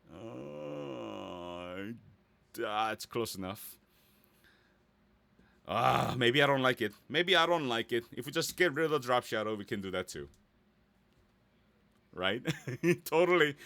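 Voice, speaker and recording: A man's voice: mid-range voice, bright, forceful tone, no room sound. A man giving a casual, playful tutorial, thinking aloud as he goes and often checking in with the listener. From Korea or United States. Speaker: voice actor giving a website tutorial.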